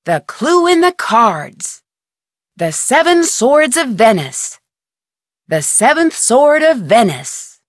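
An actress voicing a curious young woman detective in a video game, talking to herself in a brisk, wry way. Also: on the high side, sing-song, no echo. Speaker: game protagonist. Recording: dry studio voice-over.

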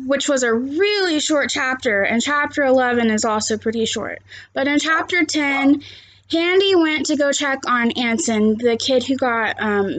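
A woman's voice: high voice, a bit bright, rough recording. A young adult woman reading a children's novel aloud like a teacher and addressing young listeners in simple, direct words. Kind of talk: read-aloud of a children's novel with chat to viewers. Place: small living room, seated on a couch indoors.

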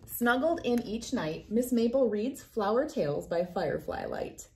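A woman in her thirties reading a picture book aloud for children, with an expressive, deliberate storytelling delivery. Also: a little high.

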